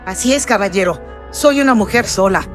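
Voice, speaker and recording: A man's voice: high voice, fairly quick, a bit bright, faint music behind. A man with a filtered voice playing a humble, devout, elderly noblewoman with courteous, old-fashioned speech in a Spanish-language horror radio drama. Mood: humble, confiding. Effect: voice processed with filters.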